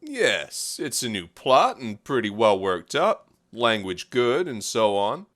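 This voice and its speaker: A man's voice: medium pitch, big pitch swings, slow pace, a bit bright. An actor playing a worldly, businesslike male newspaper editor in a period audio drama, offhand and dismissive.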